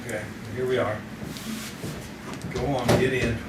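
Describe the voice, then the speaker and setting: An actor playing a skeptical, wisecracking newspaper photographer in an old-time radio drama. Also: male voice, mid-range voice, flat delivery, a little slow, close to an everyday voice. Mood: businesslike. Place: community theater stage. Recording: roomy, noisy, distant live stage recording.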